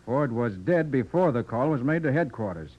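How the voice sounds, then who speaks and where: An actor playing a shrewd, confident private detective who reasons emphatically in a 1940s radio drama. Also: male voice, medium pitch, animated, normal speed, natural voice. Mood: thoughtful. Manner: assured, deductive, emphatic. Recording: dull lo-fi old radio recording.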